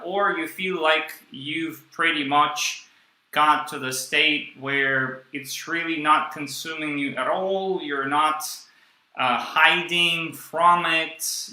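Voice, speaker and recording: A man interviewing a guest, asking long questions in slow, searching phrasing full of fillers. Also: a little high, bright, forceful tone. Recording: echoey room.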